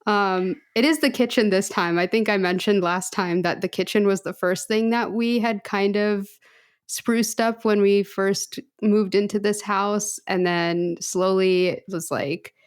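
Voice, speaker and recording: A woman's voice: medium pitch, steady tone, measured pace, clear, resonant tone. A woman co-hosting a podcast in casual chat, rambling and laughing often. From United States. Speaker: podcast co-host.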